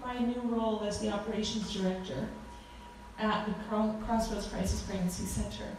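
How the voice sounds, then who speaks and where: A woman in her forties with a loud voice, giving a fervent talk as a guest speaker at a church, with frequent ums. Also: mid-range voice, fairly even intonation, normal speed, slight background noise. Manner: earnest, fervent, with many ums. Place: church hall. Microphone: handheld microphone. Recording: echoey hall from far off.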